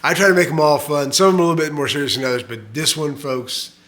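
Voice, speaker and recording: A man's voice: fairly high pitch, fast, fairly bright tone. A middle-aged man hosting a casual knife review channel, rambling and self-mocking, full of fillers and jokes.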